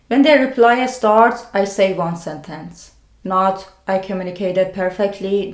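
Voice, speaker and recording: A woman with a non-native English accent hosts a scripted self-help video. Her pitch sits in the middle range for a woman. She talks in deliberate, short phrases with pauses, and the room adds clear echo around her voice. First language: a language other than English. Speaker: video host sharing self-help advice. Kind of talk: scripted personal explainer to camera. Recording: roomy, echoing sound.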